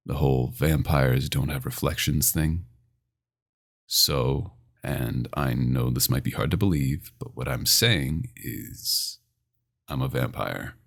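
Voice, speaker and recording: A man playing a very deep-voiced possessive vampire doctor. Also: slow pace, a bit bright, exaggerated voice. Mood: teasing, boastful. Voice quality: very deep, gravelly. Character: male vampire doctor, dominant, possessive, smoothly menacing. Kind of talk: ASMR roleplay acting.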